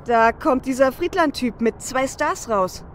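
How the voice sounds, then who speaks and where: A woman acting a high-voiced, cocky hacker. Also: animated, unhurried. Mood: watchful. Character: cocky, sharp-tongued female technomancer hacker, loyal to her team. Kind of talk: fan audio drama acting. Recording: faint vehicle and street noise.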